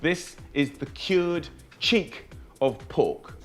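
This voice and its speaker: A man's voice: on the high side, animated, extremely slow, fairly bright tone, faint music behind. A man presenting a recipe in an upbeat cooking show, chatty and enthusiastic, addressing viewers as guys.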